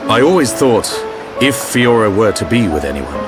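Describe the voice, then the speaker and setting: An actor playing a calm, earnest warrior and protective older brother in a video game, measured and reflective. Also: male voice, fairly low pitch, over music. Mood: warm, confiding. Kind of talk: video game cutscene acting.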